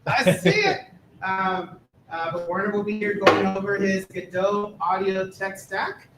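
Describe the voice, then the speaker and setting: Chatty guy hosting a tech meetup on stage, highish voice, roomy echo. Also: steady tone, unhurried, very noisy. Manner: upbeat, filler-heavy, chatty. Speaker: event host. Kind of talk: casual event emceeing. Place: conference stage, echoey room.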